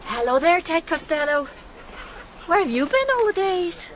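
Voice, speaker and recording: A woman's voice: very high-pitched, big pitch swings, moderate pace, a bit bright, slight background noise, heavily acted. An actress playing a plain-spoken army camp woman in a radio drama, talking in a familiar, folksy way. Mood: friendly, chatty.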